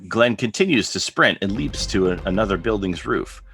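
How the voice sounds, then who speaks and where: A man's voice: on the low side, expressive, moderate pace, vocal fry, a bit bright. A man narrating scripted stage directions as the narrator of a drama reading.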